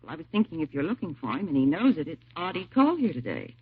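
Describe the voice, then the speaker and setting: A woman's voice: low voice, very animated, fast, breathy edge, exaggerated voice. An actress playing a polite, concerned suburban mother in a 1950s radio drama, proper and tentative in her speech. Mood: uneasy, cooperative. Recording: tinny, narrow old radio recording.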